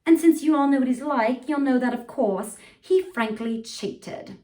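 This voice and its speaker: A woman playing a tipsy, pompous upper-class English gentleman with a put-on British accent, rambling through a grandiloquent speech. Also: sits high, unhurried. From United States. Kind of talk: theatrical monologue performance. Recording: voice from far off the mic.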